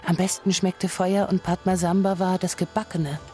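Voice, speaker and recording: A woman narrating a radio drama in a measured, literary manner. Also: on the low side, little pitch movement, dark tone, soft background music, close to an everyday voice.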